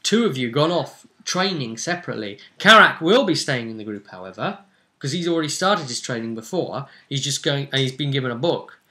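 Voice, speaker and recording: A man with a bright, forceful voice talks as a game master and host. His pitch sits in the middle, and he gives a casual recap of a role-playing campaign. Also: expressive, a little fast.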